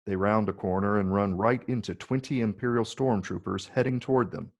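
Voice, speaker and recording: Male narrator for a script reading: measured, descriptive, husky with a creaky low edge. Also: steady tone. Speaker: narrator reading stage directions. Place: virtual read, recorded remotely.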